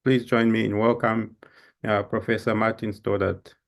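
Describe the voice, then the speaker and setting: A man's voice: fairly low pitch, little pitch movement, moderate pace, creaky. A man formally introducing a guest speaker at a seminar, reading the introduction with small hesitations. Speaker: seminar host.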